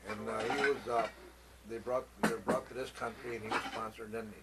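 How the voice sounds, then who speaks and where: An older man from the United States recalling his family's history in a plain, halting way with many pauses, interviewed at a family gathering. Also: medium pitch, flat delivery, very noisy.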